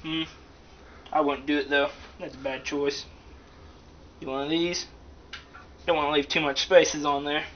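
A man with a slightly high voice rambles through a casual, jokey kitchen demonstration. His delivery is folksy and slangy. The sound is dull and lo-fi, picked up from a little distance with plenty of noise around him. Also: little pitch movement, unhurried, long pauses. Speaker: host of amateur comedic cooking show. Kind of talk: casual joking how-to demonstration. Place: home kitchen, indoors.

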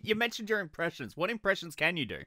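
Bright, animated male interview host. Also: high voice, fast, dry recording. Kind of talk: upbeat online cast interview.

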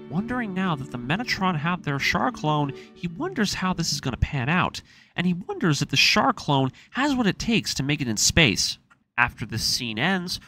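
A man's voice: on the high side, bright, forceful tone. A man reading a scripted story recap as the narrator of a series. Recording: voice-over with music underneath. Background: music.

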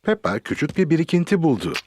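A man narrating a preschool cartoon as a cheerful storyteller, in simple, clearly phrased sentences for young children. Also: fairly high pitch, very animated, quick pace, noisy background.

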